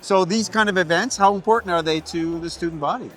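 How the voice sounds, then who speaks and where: A man interviewing students at a networking event, upbeat and encouraging, with quick follow-up questions. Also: high voice, a bit bright, faint music behind.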